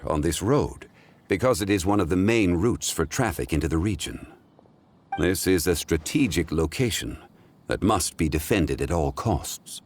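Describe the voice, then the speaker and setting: A man voicing an ancient, wise, scholarly gentleman in a video game cutscene, speaking in a formal, measured and reflective way. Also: sits low. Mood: calm, reflective. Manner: formal, measured, eloquent, contemplative.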